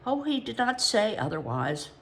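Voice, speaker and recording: A woman's voice: a little high, big pitch swings, moderate pace. A woman voicing a young boy apprentice who answers in short, grudging replies. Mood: curt.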